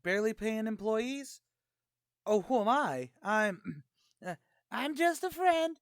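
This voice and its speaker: A man's voice: sits high, expressive, takes it slowly, smooth, no room sound, studio quality. A man playing a scheming, wisecracking tavern manager in an old-time radio comedy, talking in streetwise slang. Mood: wheedling.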